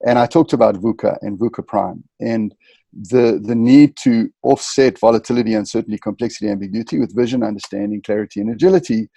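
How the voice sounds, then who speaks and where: A man from southern Africa answers interview questions in a lecturing way, dense with specialist terms. His voice is gravelly and mellow, a little husky, and the sound is dry and close. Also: fairly low pitch, fairly even intonation, fast talker, dry recording. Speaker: guest, leadership consultant.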